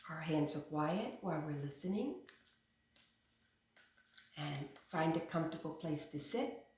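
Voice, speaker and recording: A woman reading a picture book aloud like a teacher, with simple, instructive phrasing and expressive stress on key words. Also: low-pitched, unhurried. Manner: simple, instructive phrasing aimed at young children. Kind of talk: animated children's picture book read-aloud. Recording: echoey room.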